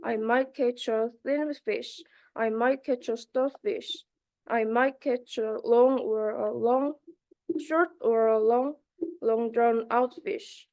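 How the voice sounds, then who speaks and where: Young female student, slightly high, very unhurried, halting read of children's verse. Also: fairly even intonation, darkish tone. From South Korea. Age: young adult. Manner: halting, careful reading with stumbles. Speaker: university student. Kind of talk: reading a rhyming children's book aloud.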